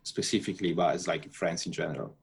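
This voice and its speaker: A man from northern Italy, answering interview questions in a relaxed, hesitant way with fillers. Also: sits low, fast, vocal fry, slightly rough. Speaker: guest, software architect.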